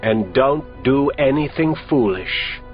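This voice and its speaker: A man playing a cold, commanding villain doctor in an audiobook, speaking calmly and with authority. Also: mid-range voice, takes it slowly. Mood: cold menace. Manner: calm, authoritative, threatening. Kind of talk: audiobook character reading. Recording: lo-fi audio with faint music.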